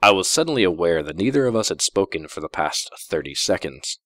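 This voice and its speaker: A man's voice: low voice, big pitch swings, brisk, a bit bright, dry recording, studio quality. A man narrating a first-person story in a wry, theatrical way. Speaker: fan fiction reader.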